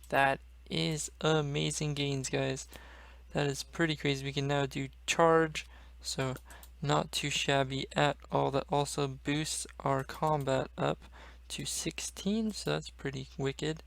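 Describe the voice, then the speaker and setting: Male game video creator; gravelly, dry voice, talks casually to his viewers while playing. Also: medium pitch, fairly bright tone, no room sound. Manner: chatty, addresses viewers as guys. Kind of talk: casual let's-play commentary.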